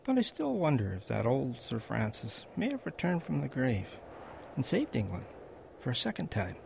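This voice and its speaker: An actor playing a kindly old grandfather with a creaky, husky voice, telling wartime memories gently and with animation in a radio drama. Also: medium pitch, wildly swooping pitch, normal speed, a little hiss or hum, exaggerated voice. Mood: animated reminiscing.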